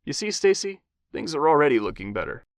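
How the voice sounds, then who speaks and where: A man's voice: a little high, very animated, a little fast, no echo, studio quality, pitched above the performer's own voice. A man voicing a polished, persuasive male executive. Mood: smooth.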